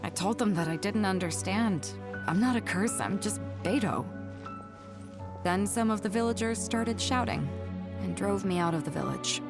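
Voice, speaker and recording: A woman's voice: mid-range voice, animated, moderate pace, music in the background, close to an everyday voice. An actress voicing a proud, tough adult pirate ship captain in a game cutscene, telling her past in a wistful, wry, confident way. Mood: somber. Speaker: game character. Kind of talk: dubbed video game cutscene dialogue.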